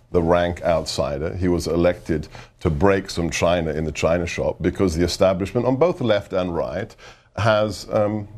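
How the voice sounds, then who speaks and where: A middle-aged man with a very deep, low voice, talking as a guest on a political interview show. He presses his points hard and hammers home repeated phrases. His tone stays insistent throughout. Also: darkish tone. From United Kingdom. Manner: emphatic, insistent, repeats for effect. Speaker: guest, former government adviser.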